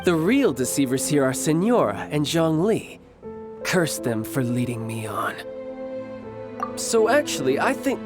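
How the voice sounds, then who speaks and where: A young man playing a breezy, teasing, smooth-talking villain in a video game. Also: a little high, sing-song, a little slow. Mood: resentful. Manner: breezy, playful, slyly casual. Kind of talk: animated video game character acting. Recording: music behind the voice.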